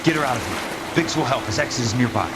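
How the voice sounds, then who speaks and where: An actor playing a tough, protective ex-marine sergeant in a video game, terse and commanding. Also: male voice, mid-range voice, expressive, quick pace, natural voice. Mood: calm, grave. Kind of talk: dramatic video game voice acting. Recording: clear background water and vehicle noise.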